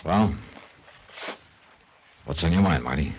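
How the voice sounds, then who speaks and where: An actor playing a shrewd, skeptical insurance investigator in a 1950s American radio drama, with clipped, wry, hard-boiled delivery. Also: male voice, rumbling low, expressive, takes it slowly, highs cut off, noisy background, heavily acted. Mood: cool, skeptical.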